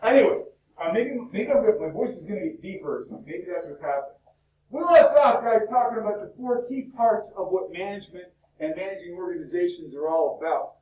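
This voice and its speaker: A male instructor lecturing a university class in a lively, chatty way, peppering students with questions, his voice cracking. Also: sits high, quick pace, highs cut off. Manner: talkative, upbeat, fires questions at the class. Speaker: business instructor. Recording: echoey classroom from far off.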